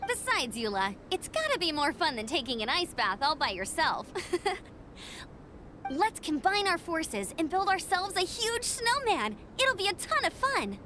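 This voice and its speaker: Peppy young scout girl, really high bright voice, swoops up and down, faint hiss. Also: very animated, measured pace, heavily acted. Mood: cheerful. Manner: bubbly, upbeat. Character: cheerful energetic young woman scout.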